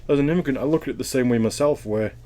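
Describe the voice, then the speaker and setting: A man's voice: medium pitch, very fast, mellow. A Welsh man answering interview questions in a casual, thoughtful way, often rambling and restarting his sentences. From Wales.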